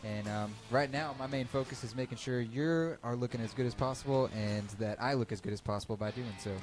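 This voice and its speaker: Fast-talking young male sidekick, music behind. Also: mid-range voice. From Florida, United States. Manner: laid-back, playful, slangy. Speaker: show producer, off-camera sidekick. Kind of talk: casual banter.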